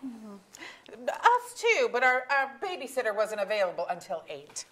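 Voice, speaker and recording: A woman's voice: fairly high pitch, very animated, measured pace, a bit bright, exaggerated voice. A woman acting an exasperated, candid middle-aged mother in a staged comedy reading, with a wry, emphatic delivery. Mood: chatty, amused. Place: auditorium stage.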